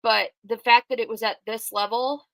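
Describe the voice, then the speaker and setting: A loud, outspoken woman talking through a livestream in a casual, conversational way and answering her chat as she goes. Her voice is bright and forceful, and it sits close and dry with no room sound around it. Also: on the high side, steady tone, moderate pace, no echo. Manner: conversational with frequent fillers. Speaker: true crime commentator reading live chat.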